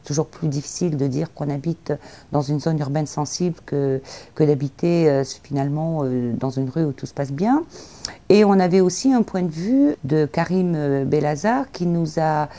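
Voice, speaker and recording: Casting: woman, low mellow voice, articulate professional explainer in an unscripted interview. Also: normal speed. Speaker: local youth employment agency representative. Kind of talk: spoken interview recap of a public debate.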